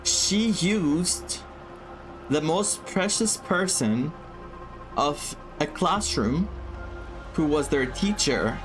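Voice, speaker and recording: A man's voice: fairly high pitch, animated, very slow, long pauses, low-quality recording. A man commenting casually on a game playthrough, English not his first language, rambling and searching for words. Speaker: gaming streamer. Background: game music.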